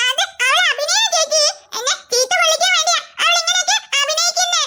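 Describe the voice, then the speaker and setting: Cartoon brat voiced by a performer, tiny boy, sulky, whiny, squeaky, fast, noisy track. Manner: sulky, whining, crying. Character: small whiny mischievous boy. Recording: loud background noise.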